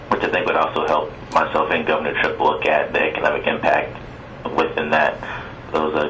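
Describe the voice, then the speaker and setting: A man's voice: very deep voice, sharp tone. A man speaking politely as a board member in a formal telephone meeting. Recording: distant phone pickup with faint noise.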